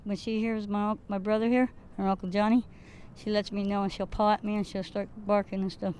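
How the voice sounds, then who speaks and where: A woman's voice: medium pitch, little pitch movement, a little fast, a little mellow, slight background noise, low-quality recording. A woman from California speaking plainly and matter-of-factly in an interview. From Ventura, California. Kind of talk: interview testimony.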